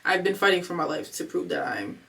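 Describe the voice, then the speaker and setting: A woman's voice: lowish voice, lively intonation, a little fast, vocal fry, a bit bright. A woman in her twenties talking casually and candidly in a home vlog, rambling and slangy, emotional and fighting back tears. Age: 20s. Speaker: vlogger. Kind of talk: casual confessional vlog talk. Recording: voice a bit far from the mic.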